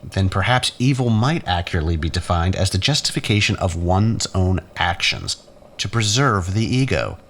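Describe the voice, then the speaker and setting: Audio drama male actor as embittered, sardonic scientist brother: deep, highly animated, theatrical and learned. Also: normal speed, heavily acted. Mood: mocking, accusing. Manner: mocking, theatrical, erudite. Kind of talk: scripted dialogue in a science fiction audio drama. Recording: faint noise and room ambience.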